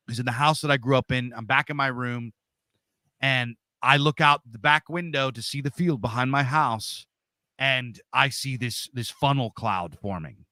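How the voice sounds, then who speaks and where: Loud, forceful man. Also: mid-range voice, no echo. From Pennsylvania, USA. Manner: loud, big-voiced talker. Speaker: interview guest. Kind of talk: casual storytelling.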